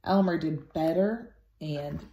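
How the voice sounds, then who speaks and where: A woman reading a children's picture book aloud expressively and deliberately, as a storytime teacher. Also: low-pitched, warm tone, slight background noise. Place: small room at home, indoors. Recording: roomy home recording.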